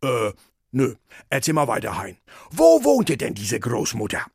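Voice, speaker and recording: An actor playing a curious, literal-minded ship's captain in a comic radio dialogue, with a gravelly, husky voice and a naive, nitpicking manner. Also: male voice, mid-range voice, huge pitch swings, a little slow, darkish tone, no echo, studio quality, heavily acted. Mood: puzzled, nitpicking.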